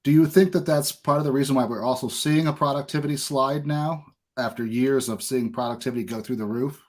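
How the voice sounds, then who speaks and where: A man's voice: medium pitch, fairly even intonation, a little fast, a little mellow. Casual man hosting a podcast. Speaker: podcast host. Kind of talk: casual conversational interview.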